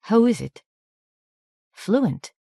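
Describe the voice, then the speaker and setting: A woman's voice: on the high side, sing-song, very slow, gravelly, warm tone, slightly rough, no echo, clean studio sound, pitched above the performer's own voice, heavily acted. A woman voicing a blunt, impatient young woman in an audiobook. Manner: direct, pressing questions.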